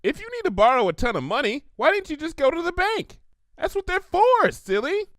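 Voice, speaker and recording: A man voicing a bubbly, silly young female cartoon pony, chirpy and excitable, in a story reading. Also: extremely high pitch, brisk, dry recording, studio quality, pitched above the performer's own voice, heavily acted. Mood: puzzled, curious.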